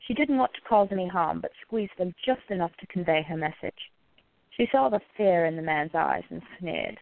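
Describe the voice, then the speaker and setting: A woman's voice: fairly low pitch, lively intonation, normal speed, creaky, phone-quality sound. A woman narrating a radio play from a script.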